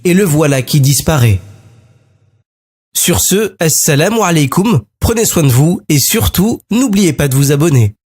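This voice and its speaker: A man's voice: fairly high pitch, expressive, normal speed, a little mellow, husky. A man narrating a scripted communiqué in a formal, polemical, declamatory way, as for an online religious channel. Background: music.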